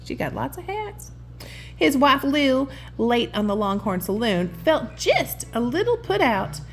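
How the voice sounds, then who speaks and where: Woman, higher-pitched, very animated, affectionate storybook read-aloud, chatty asides, music behind. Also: measured pace. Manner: affectionate, addresses the listener as sweetheart. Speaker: children's storybook reader and host.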